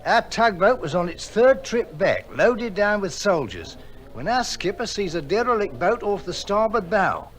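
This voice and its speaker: British tugboat sailor, radio drama. High, lively voice, colloquial working-class yarn-spinner. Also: male voice, moderate pace. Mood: animated yarn-spinning. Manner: animated, colloquial storytelling.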